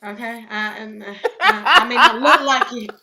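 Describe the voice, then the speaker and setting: A woman co-hosts a casual podcast chat. Her voice is bright and forward, and she tells personal stories with animated asides and quick laughs. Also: fairly high pitch. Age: 20s. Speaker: podcast co-host.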